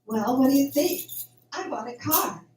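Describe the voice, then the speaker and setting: A woman's voice: high-pitched, lively intonation, a little slow, a little mellow, soft background music. A woman playing an affectionate, encouraging young farm woman in an amateur radio drama. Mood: affectionate, playful. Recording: echoey room, faint background noise.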